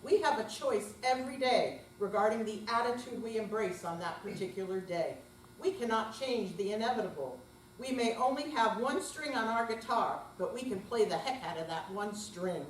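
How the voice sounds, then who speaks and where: An older woman giving a deliberate, amateur dramatic reading of reflective prose before a live audience. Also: on the high side, animated. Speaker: amateur readers theater performer. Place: room at a senior center, live performance. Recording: echoey distant room, faint noise.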